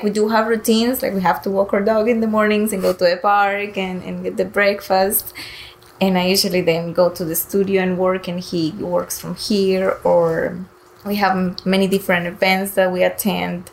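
A woman's voice: medium pitch, little pitch movement, normal speed, a little hiss or hum, rough recording. A woman artist answering interview questions in an earnest, reflective, conversational way. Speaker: interview guest, painter.

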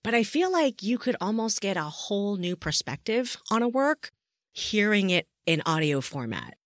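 A woman's voice: medium pitch, big pitch swings, moderate pace, no room sound, clean studio sound. A woman hosting a podcast, interviewing a guest in a casual, chatty and effusive way. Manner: enthusiastic, effusive.

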